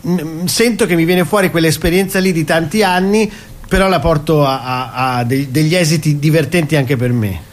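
A male actor and comedian, not from Rome, talking as a guest on a radio show and reciting poetry in an animated way. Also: fairly high pitch, moderate pace. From Italy, not Rome. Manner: animated, rising into dramatic recitation. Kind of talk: radio interview chat and poetry reading. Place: radio studio.

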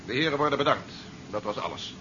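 An actor playing an authoritative, curt military general in a 1970s Dutch radio drama. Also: male voice, medium pitch, animated, pushed and bright. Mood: stern, commanding. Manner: brisk, commanding, curt. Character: authoritative male military general commanding a rocket operation. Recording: noisy, dull 1970s radio play recording.

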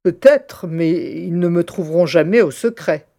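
A woman's voice: on the low side, measured pace, dark tone. A woman playing a cold, scheming scientist villain in an audio drama, speaking in a curt, imperious manner. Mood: irritated. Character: cold, scheming female scientist in a kidnapping plot.

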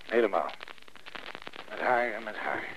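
An actor in a Dutch radio play voicing a desperate, bullheaded man who speaks bluntly and haltingly. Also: on the low side, expressive, a bit bright, slight background noise, poor audio. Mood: urgent. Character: Johan, desperate, bullheaded business partner and troubled husband.

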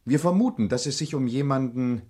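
An actor playing a courteous, probing police investigator in a German crime radio play, speaking formally and with insinuation. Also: male voice, medium pitch, mellow, slightly rough, close to an everyday voice. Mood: pointed, accusing. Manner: formal, deliberate, insinuating politeness.

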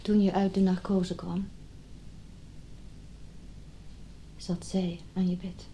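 An actress playing an anxious, doubting wife in a radio drama, hesitant and intimate, with a breathy, hushed delivery. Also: female voice, lowish voice, little pitch movement, moderate pace, a little hiss or hum, natural voice. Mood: hurt, wary. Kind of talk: radio drama acting with inner monologue.

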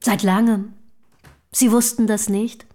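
A woman's voice: medium pitch, flat delivery, slow pace, warm tone, close to an everyday voice. An actress in a German radio play playing a passionate, bold young literary woman, with theatrical, pointed diction. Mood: needling, boastful.

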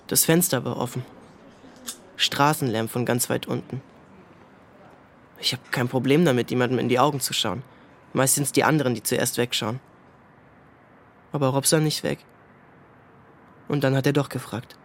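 A young actor playing a self-assured, probing teenage boy from a wealthy family in a radio drama, cool and deliberate. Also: mid-range voice, a little hiss or hum, close to an everyday voice. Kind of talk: German radio drama, recounting and dialogue.

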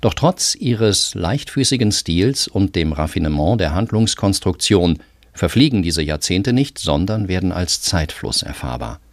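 Male presenter, deep register, dry studio sound, scripted cultural introduction. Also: very animated, unhurried, dry recording. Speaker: radio presenter. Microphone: close studio microphone.

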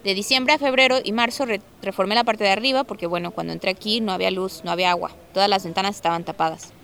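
Woman, conversational personal testimony, medium pitch, light ambient noise behind her. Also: steady tone, quick pace, creaky, a bit bright. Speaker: artist resident recounting a squat and eviction.

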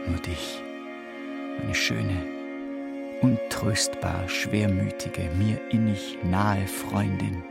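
Radio drama role: proud, melancholy fallen monarch, slow and gravelly, declamatory. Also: male voice, fairly low pitch, steady tone, over loud music, full character voice. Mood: tender, sorrowful. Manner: grandiose, declamatory. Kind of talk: theatrical radio drama monologue.

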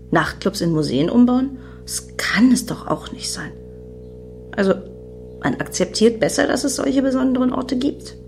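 An actress in a radio play voicing an excitable, obsessive female fan who muses dreamily and justifies herself as she rambles. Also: medium pitch, gravelly.

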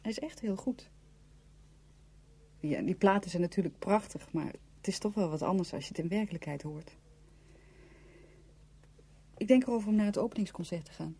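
Dark, husky, cultured confidante. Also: female voice, medium pitch, normal speed, pauses often. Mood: composed, cultured. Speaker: actor in a radio play. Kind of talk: Dutch radio play acting.

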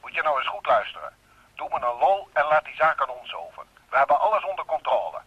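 Sarcastic male inspector, sceptical, husky edge, radio drama, dull dry recording. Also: a little high, sing-song, fast talker, dry recording. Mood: scornful. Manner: sarcastic, dismissive. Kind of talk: radio play acting.

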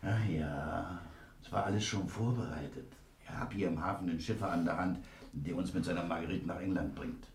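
A gruff convict burglar, conspiratorial and impatient, in a vintage radio crime play. The voice is deep and gravelly. It comes from a distance with a hollow echo. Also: male voice, fairly quick. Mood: urgent, scheming. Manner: conspiratorial, blunt, urging. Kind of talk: 1960s German radio crime drama acting. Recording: echoey, distant from the mic.

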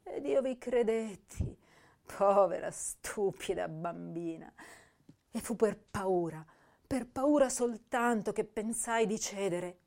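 Young female poet, slow delivery, mid pitch, anguished, tender, self-reproaching, theatrical radio play reading. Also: darkish tone. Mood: anguished, bitter. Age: young adult. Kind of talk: dramatic reading of letters and poems.

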